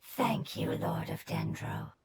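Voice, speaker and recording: A woman voicing a gentle, solemn elemental creature in a video game, with a breathy voice and formal, grave delivery. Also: deep voice, slow, full character voice. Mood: grateful. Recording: loud game music underneath. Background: loud music.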